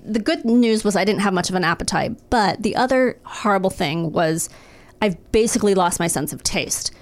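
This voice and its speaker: A woman's voice: mid-range voice, expressive, moderate pace. A woman in casual chat reading medical information aloud with wry, profane asides, recovering from nose and throat surgery.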